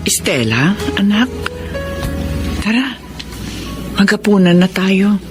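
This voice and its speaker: A woman's voice: mid-range voice, very animated, takes it slowly, loud music behind the voice. A woman acting a distressed, disapproving middle-aged mother in a radio drama. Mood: caring. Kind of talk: Filipino radio drama melodrama.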